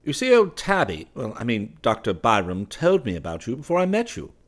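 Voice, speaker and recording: A man's voice: medium pitch, huge pitch swings, a little fast, pitched above the performer's own voice. A man voicing an easygoing young male art student, breezy and nonchalant. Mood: friendly.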